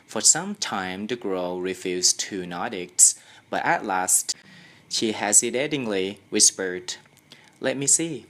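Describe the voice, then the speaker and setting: A young Thai-accented student reads a story aloud at a slow pace. The voice sits low, and the delivery is careful and a little halting, in the manner of an amateur audiobook. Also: animated, a bit bright. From Thailand. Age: young adult. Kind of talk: scripted story narration.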